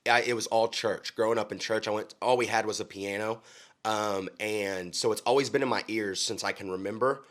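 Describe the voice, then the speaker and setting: A young country singer from Oklahoma answers questions in a relaxed chat. His voice sits a little low, and he talks earnestly, often restarting sentences and filling gaps with ums. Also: brisk. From Oklahoma, USA. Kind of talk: casual interview chat.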